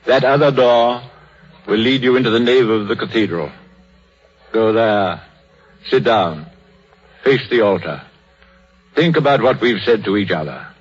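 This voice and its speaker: An actor playing a gentle, philosophical old minister in a 1930s radio drama, with a British accent and a measured, solemn manner. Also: male voice, lowish voice, soft background music. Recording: dull, muffled 1930s radio recording.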